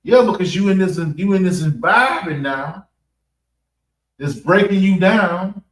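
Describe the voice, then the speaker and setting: An American man giving an off-the-cuff religious address, halting and repeating phrases for emphasis. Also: high-pitched, expressive. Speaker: preacher giving a public address at a mosque. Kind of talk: extemporaneous religious talk. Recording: echoey room, distant mic.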